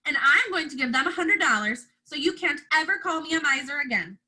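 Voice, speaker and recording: A woman's voice: high voice, expressive, fairly quick, bright, forceful tone, full character voice, low-quality recording. A woman voicing a feisty, precocious little girl in a storybook, indignant and smug with defiance. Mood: smug, defiant.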